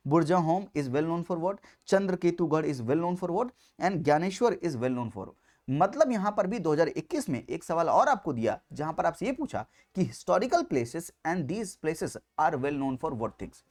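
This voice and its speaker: A man teaching exam candidates in an explanatory lecture, speaking emphatically, repeating key points and putting rhetorical questions to his students. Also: high voice.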